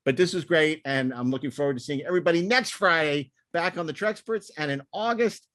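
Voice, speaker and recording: A man's voice: on the high side, fairly quick, a bit bright, dry recording. Rambling male podcast host, dry close sound. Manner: rambling, with repeated words and stop-start phrasing; enthusiastic. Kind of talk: casual podcast chat and sign-off.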